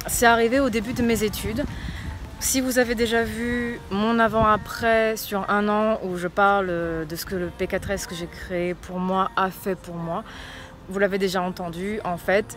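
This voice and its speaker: A woman's voice: mid-range voice, flat delivery, moderate pace. A young woman from Portugal with a light northern Portuguese accent, a fitness coach telling her own story in a chatty vlog, with frequent fillers.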